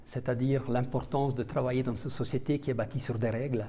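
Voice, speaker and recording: Hesitant diplomat, mellow voice. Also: male voice, mid-range voice, fairly even intonation, a little fast. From Italian-speaking Switzerland. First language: Italian. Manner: hesitant, many fillers and restarts. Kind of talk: interview answers.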